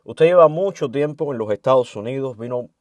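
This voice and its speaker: A man conducting an interview, asking measured, formal questions. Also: medium pitch, expressive, a little mellow, no room sound.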